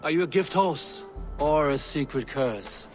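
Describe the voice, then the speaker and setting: A man playing a stern, wary Norse high god in a video game cutscene, speaking in a measured, authoritative and formal way. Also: expressive, soft background music. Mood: wary, suspicious.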